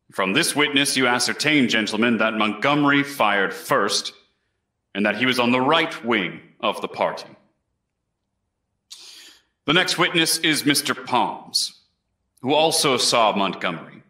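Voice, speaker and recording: A man's voice: mid-range voice, bright, forceful tone. A man playing an earnest colonial Crown prosecutor, formally addressing a jury in a historical courtroom reenactment. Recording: echoey room.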